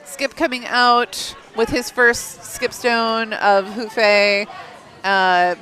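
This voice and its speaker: A woman's voice: fairly high pitch, little pitch movement, bright, forceful tone, low-quality recording. Easygoing woman commentating curling, crowd behind. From Iowa. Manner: light, laughs easily, quick agreeing remarks. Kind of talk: casual color commentary.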